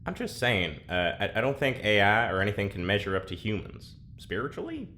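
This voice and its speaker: A man's voice: fairly low pitch, sing-song, fairly quick, pushed and bright, faint music behind. A man acting in a naturalistic audio drama as a nervous, hesitant rambler with a wry streak. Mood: hesitant, curious.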